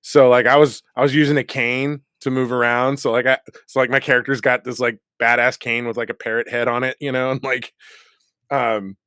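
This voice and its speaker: Laughing, animated male actor, dry sound. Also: mid-range voice, brisk, clean tone, no room sound. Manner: animated, laughing often, many filler words. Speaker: actor guest. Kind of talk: casual chat.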